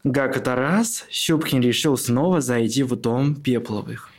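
A man narrates a literary radio play. His voice sits at a middle pitch as he reads the scripted storytelling passages. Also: measured pace, a little mellow, a little hiss or hum.

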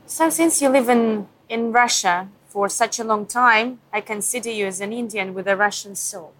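A woman TV correspondent asking measured, polite interview questions. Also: fairly high pitch, fairly bright tone, low-quality recording.

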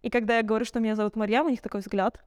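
A woman's voice: fairly high pitch, fairly even intonation, racing, clean tone, no echo, studio quality. A young woman telling personal stories in a candid, conversational way, reflective and searching for words as a podcast guest. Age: young adult.

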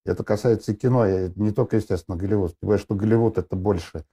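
A middle-aged man with a low, dark, mellow voice. He talks casually as a guest, rambling through fillers, half-words and restarts as he works out his thoughts. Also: fairly even intonation, very fast, breathy edge. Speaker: film director guest. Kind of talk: casual discussion.

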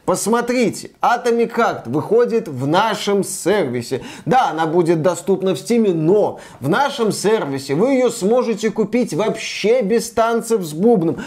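High-pitched man giving wry gaming commentary. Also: expressive, moderate pace, hardly any pauses, darkish tone. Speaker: host of a gaming news show. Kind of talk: conversational news commentary.

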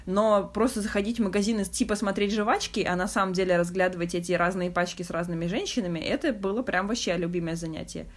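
A young woman with a mid-pitched voice talks as a podcast host. She is frank and reflective, keeping it conversational and often searching for the right phrase. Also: fairly even intonation, fast, few pauses. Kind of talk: candid casual conversation.

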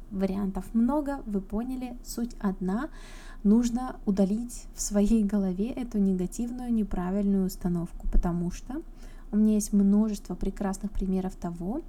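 A woman's voice: mid-range voice, warm tone. A woman teaching English pronunciation in a casual video lesson, explaining things in a chatty way and speaking straight to her viewers. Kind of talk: informal video lesson to camera.

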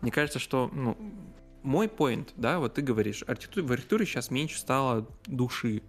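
A man's voice: mid-range voice, lively intonation, a little fast, soft background music. A man telling stories in a casual conversational style, often searching for words and correcting himself as he goes. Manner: hesitant, searching for words, with repeated self-corrections.

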